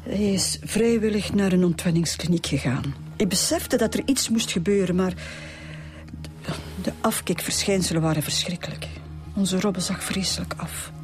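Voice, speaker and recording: A woman's voice: fairly low pitch, moderate pace, darkish tone, natural voice. An actress playing a grieving, earnest middle-aged Flemish mother in a radio drama. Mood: sad, earnest. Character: grieving, earnest mother pleading for her son.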